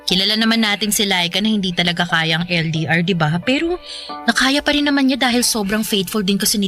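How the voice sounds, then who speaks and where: A woman's voice: medium pitch, fairly bright tone, lightly acted. A woman playing an affectionate young Filipino girlfriend in a radio drama, casual and teasing in a naturalistic conversational way. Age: young adult. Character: affectionate young live-in girlfriend, playful but hesitant about marriage. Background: music.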